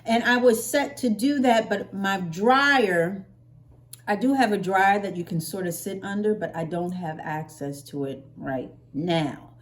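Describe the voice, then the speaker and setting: An American woman vlogger chatting casually to her viewers in a playful, expressive way, stretching words for emphasis. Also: medium pitch, measured pace. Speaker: hair care vlogger.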